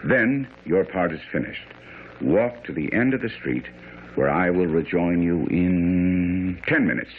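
An actor playing a self-assured, brilliant detective in a radio drama, speaking with precise, theatrical authority. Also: male voice, sits low, a little slow. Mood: instructing, commanding. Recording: tinny, faintly noisy old radio broadcast.

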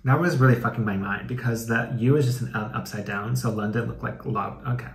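A man hosts a comedic reading in a casual, campy style. His medium-pitched voice is sassy and slangy, with swearing mixed into the commentary. The recording is roomy, with noticeable echo. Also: brisk, creaky. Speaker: YouTube host.

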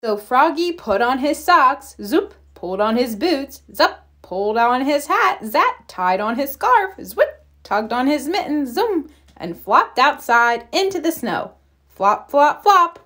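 Slow, high-pitched woman reading a storybook. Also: big pitch swings, bright, forceful tone. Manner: deliberate, playful with sound words. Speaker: read-aloud storyteller. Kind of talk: expressive children's picture-book narration.

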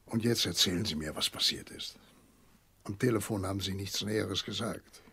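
An actor in a German radio drama playing a concerned family doctor, gentle, measured and sympathetic in his speech. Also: on the low side, vocal fry, slightly rough, heavily acted. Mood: probing, calm.

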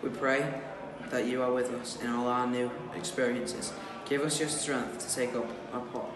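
A man's voice: mid-range voice, level tone, moderate pace. A teenage boy reading a prayer aloud. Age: teens. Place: indoor school room. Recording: echoey, distant mic, crowd noise behind.

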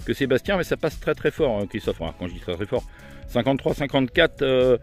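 Fast-talking man on a handheld radio. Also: mid-range voice, sing-song, faint music behind. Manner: brisk, repeats call signs, hands over the mic. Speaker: ham radio operator filming his contact session. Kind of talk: radio call chat. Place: outdoors on a hilltop. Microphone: handheld radio on a directional antenna.

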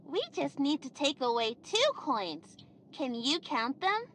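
High, animated little cartoon girl. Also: measured pace, slight background noise, rough recording. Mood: bright, excited. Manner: exaggerated singsong children's-show delivery, turning sarcastic. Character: cheery, bossy young girl hosting children's show. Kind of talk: scripted children's cartoon game acting. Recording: music behind the voice.

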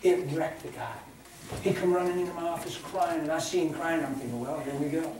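An older man preaching with folksy, animated energy, rising loud and falling hushed. He is heard from a distance in a big, echoing hall with steady background noise. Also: a little high, a little fast. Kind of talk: sermon. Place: large indoor livestock-arena church hall. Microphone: far camera. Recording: echoey hall from far off, ambient noise.

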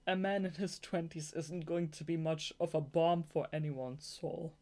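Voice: medium pitch, steady tone, measured pace, a little mellow. A young adult native German speaker reading a novel aloud as amateur audiobook narration, sometimes breaking into gushing fan asides. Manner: giggly, gushing fangirl asides between read passages. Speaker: audiobook reader.

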